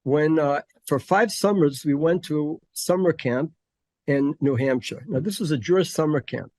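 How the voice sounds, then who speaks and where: A man's voice: on the high side, a little mellow, no echo. Older guy telling his story, lots of uhs and restarts, dry close sound. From Connecticut, USA. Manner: halting, many fillers and restarts. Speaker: guest. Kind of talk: casual interview conversation.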